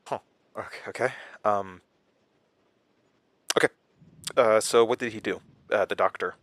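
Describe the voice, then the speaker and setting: Anxious male questioner, halting, stammering, pressing, bright tense voice, naturalistic audio drama. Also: fairly low pitch, steady tone, no room sound. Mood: uneasy questioning. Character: anxious, halting man questioning a witness closely.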